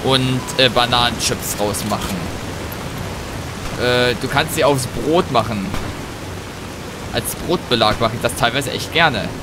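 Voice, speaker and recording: A man's voice: medium pitch, measured pace, pauses often, heavy background noise. A man giving casual, rambling gameplay commentary with jokey asides. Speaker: let's play host. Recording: music and rain behind voice. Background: game music, rain and thunder.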